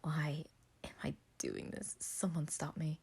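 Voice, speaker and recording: A woman's voice: sits low, huge pitch swings, unhurried, creaky, a little mellow, breathy edge, exaggerated voice. A woman voicing an anxious, self-doubting man in a story, muttering nervously.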